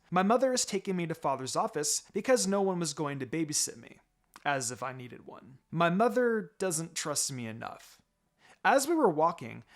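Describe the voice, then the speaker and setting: A man narrates a fan-written story aloud in the first person. His voice sits a little high and moves up and down a lot, giving the reading an expressive, animated feel. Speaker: fan fiction reader.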